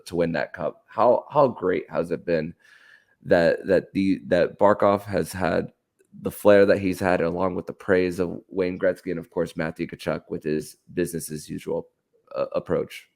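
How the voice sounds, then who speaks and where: Deep-voiced, halting male podcast host. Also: gravelly, darkish tone. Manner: halting, stammering repetition of words. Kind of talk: conversational sports analysis.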